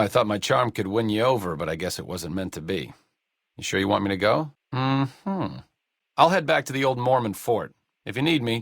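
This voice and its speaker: Casting: wry, educated male doctor sidekick for post-apocalyptic game; deadpan wit, animated intonation, studio-dry recording. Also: lowish voice, no room sound, full character voice. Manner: dry, sardonic, articulate. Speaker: video game voice actor. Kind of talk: scripted video game companion dialogue.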